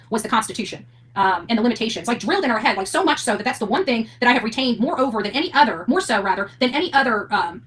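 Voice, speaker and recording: A woman's voice: a little high, fairly bright tone, low-quality recording. A woman ranting unscripted to her online audience in a defiant, indignant, emphatic manner. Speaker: online commentator covering court cases.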